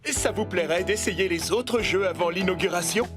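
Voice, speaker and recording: A woman's voice: on the low side, moderate pace, over music, close to an everyday voice. A woman voicing an exuberant, showy, perfectionist milkshake inventor in a theatrical French cartoon dub. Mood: effusive. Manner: theatrical, effusive.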